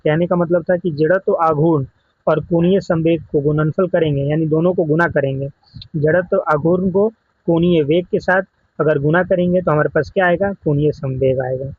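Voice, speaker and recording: Male exam coach, Indian accent, raspy edge, explanatory delivery with English terms, dry and slightly lo-fi sound. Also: fairly high pitch, steady tone, moderate pace, no room sound. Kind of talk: exam question walkthrough lesson.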